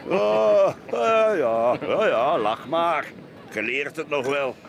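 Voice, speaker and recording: Blustering, grumpy middle-aged Flemish man, swooping pitch. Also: animated, slow pace, gravelly, slight background noise, full character voice. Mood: cynical. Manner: blustering, grumbling, exclaims and mutters. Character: grumpy, prudish, boastful heavyset middle-aged bourgeois beach-café customer. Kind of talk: radio play acting.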